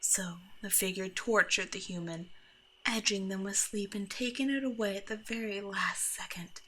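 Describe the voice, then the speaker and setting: A woman's voice: medium pitch, moderate pace, sharp tone, slight background noise, natural voice, poor audio. A woman playing a grumpy, sarcastic, bossy monster from under the bed in an ASMR roleplay. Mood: hushed, storytelling. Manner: exasperated, bossy, mock-threatening. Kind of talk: scripted ASMR roleplay, speaking to the listener.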